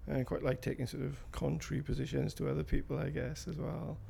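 A man's voice: on the high side, little pitch movement, fast, vocal fry, dark tone, breathy edge. A man in his fifties with a South Wales Valleys accent, answering interview questions in a hesitant, rambling way with fillers and restarts. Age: 50s. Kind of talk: recorded interview.

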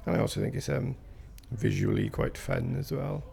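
A man's voice: medium pitch, moderate pace, creaky, dark tone, a little hiss or hum. A man in his fifties with a South Wales Valleys accent, answering interview questions in a hesitant, rambling way with fillers and restarts. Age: 50s. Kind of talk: recorded interview.

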